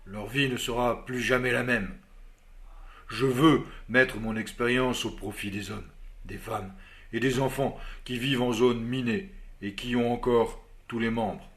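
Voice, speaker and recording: An actor playing a composed army officer who answers job interview questions in a measured, formal way, in a scripted learner dialogue. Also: male voice, mid-range voice, a bit bright, natural voice.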